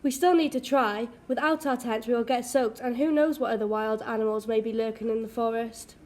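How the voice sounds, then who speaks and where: A woman's voice: on the high side, a little fast, a little mellow, natural voice. A woman playing a sensible, bossy young sister in an amateur radio drama. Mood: scolding, brisk.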